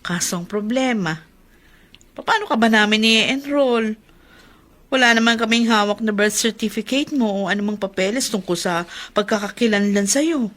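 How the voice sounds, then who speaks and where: A woman with a Filipino accent playing a caring, gentle adoptive mother in a radio drama. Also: medium pitch, moderate pace, lightly acted. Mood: concerned.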